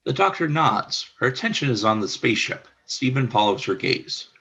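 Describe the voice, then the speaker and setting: Guy reading stage directions, low gravelly raspy voice, bright tone. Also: sing-song, moderate pace. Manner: plain, matter-of-fact narration. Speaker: narrator.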